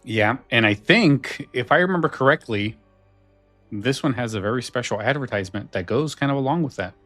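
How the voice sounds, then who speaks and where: A man co-hosting a podcast in a casual, informal and somewhat halting way. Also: mid-range voice, lively intonation, a bit bright, dry recording, faint music behind. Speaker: podcast co-host.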